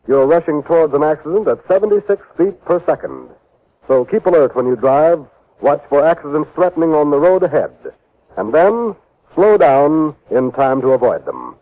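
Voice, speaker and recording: American man, vintage radio announcer, scripted, measured and earnest, slightly high pitch, muffled recording. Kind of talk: 1950s radio announcing, scripted public service message.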